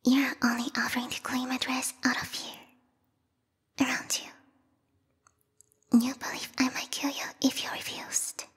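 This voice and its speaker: A woman voicing a lethal yandere vampire bat girl, a queen's knight with formal, theatrical speech, in a breathy whispered ASMR roleplay. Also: high-pitched, monotone, a little slow, long pauses, a bit bright, heavily acted. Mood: wounded, suspicious. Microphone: close binaural microphone. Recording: reverberant hall-like echo.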